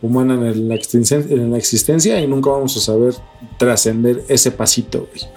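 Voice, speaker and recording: Man, Mexican accent, middle-pitched voice, casual debate, slangy and profane, opinionated co-host, music behind. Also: fairly even intonation, a little fast, warm tone. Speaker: podcast co-host.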